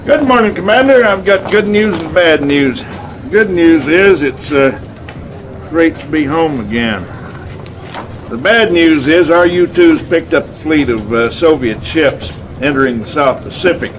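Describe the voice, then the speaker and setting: Game cutscene: folksy American army general, male, confident, gruff, raised pitch, faint noise behind. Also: animated, poor audio. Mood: wry, briefing. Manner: folksy, informal, drawn-out hesitations. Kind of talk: dramatic game cutscene acting.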